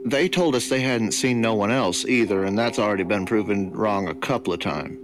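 A man's voice: lowish voice, fairly quick. Wry man player, noisy line. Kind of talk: casual game chat.

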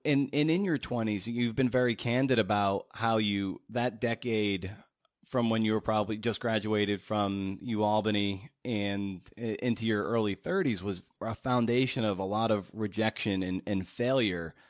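An American man hosting a podcast interview, asking long questions in halting, searching phrases. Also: lowish voice, dry recording, clean studio sound.

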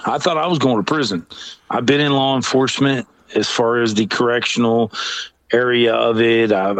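A middle-aged man telling a story in a casual, comedic way. He is animated, acts out other people's lines and laughs often. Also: mid-range voice, dry recording. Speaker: storyteller guest.

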